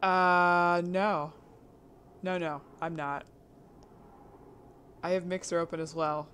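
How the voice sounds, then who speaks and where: A woman with a husky voice chatting casually to her online audience during a live stream, rambling, trailing off and restarting, laughing often. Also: on the low side, fairly even intonation, slow pace, fairly bright tone.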